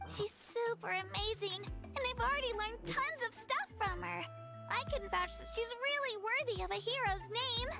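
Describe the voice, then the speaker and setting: A little fairy companion girl from an anime game. The voice is piercingly high and childlike, with a scratchy creak in it. She is perky and loyal, and music plays clearly underneath. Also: normal speed. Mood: eager. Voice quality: squeaky, childlike. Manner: refers to self by name, perky.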